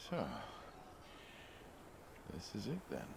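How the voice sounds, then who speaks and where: A man's voice: lowish voice, huge pitch swings, slow pace, vocal fry, background noise, exaggerated voice. An actor playing a mob hitman at odds with his old friend, insistent, emotive and colloquial, in a video game. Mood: pleading, frustrated. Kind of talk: naturalistic video game acting.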